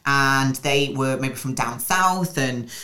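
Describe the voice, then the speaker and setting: Low-voiced northern English woman. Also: slow, sharp tone, reverberant. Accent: mild northern English, Newcastle traces mixed with a hint of American. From Newcastle, England. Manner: chatty and digressive, with many restarts. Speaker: podcast guest. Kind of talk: casual interview chat.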